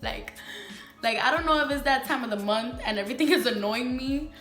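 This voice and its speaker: Candid young Bronx woman, bright echoey voice. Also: sits high, a little fast, faint music behind. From the Bronx, New York. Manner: candid and emphatic, heavy slang, talks to viewers as "sis" and "girl". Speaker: beauty vlogger. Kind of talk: casual get-ready-with-me chat.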